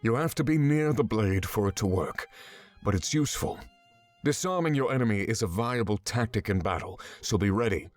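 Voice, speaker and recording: An actor playing a stern, exacting swordsman mentor in a fantasy visual novel game, giving measured, commanding instruction. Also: lowish voice, expressive, darkish tone, natural voice. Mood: measured, guiding. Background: background music.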